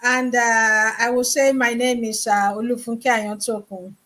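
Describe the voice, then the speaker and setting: A woman's voice: fairly high pitch, flat delivery, measured pace, a bit bright. A woman from Nigeria introducing herself politely as a panelist. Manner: polite and grateful.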